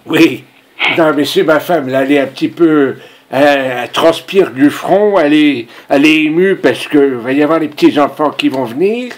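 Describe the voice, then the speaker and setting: A man's voice: fairly high pitch, moderate pace, natural voice. A man voicing a pushy, rambling, naive old grandfather in a prank phone call. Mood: pleading, insistent. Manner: insistent, rambling, repeats himself.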